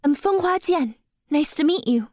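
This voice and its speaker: A woman's voice: sits high, expressive, moderate pace, darkish tone, dry recording, studio quality. A woman playing a polite, earnest young dancer in an audio drama. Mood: friendly, modest.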